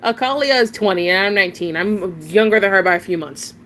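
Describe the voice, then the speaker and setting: A woman's voice: mid-range voice, animated, fairly quick, fairly bright tone, slight background noise. A nineteen-year-old woman streaming live, rambling casually, chatting with viewers and often giggling and restarting her sentences.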